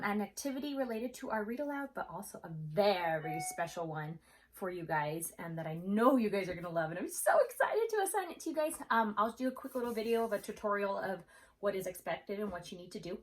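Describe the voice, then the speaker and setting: A woman speaking as an elementary school teacher in a video lesson for children, chatty and expressive as she talks and reads aloud. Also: medium pitch, brisk. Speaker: third grade teacher.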